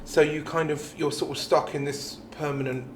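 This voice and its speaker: A man's voice: a little high, level tone, moderate pace. British man talking in an echoey room. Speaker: pantomime dame performer. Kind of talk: reflective interview talk. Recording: roomy echo with background noise.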